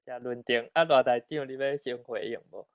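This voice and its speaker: A man's voice: mid-range voice, moderate pace, dry recording, narrow, tinny audio. A man with a Taiwanese accent informally moderating an online forum.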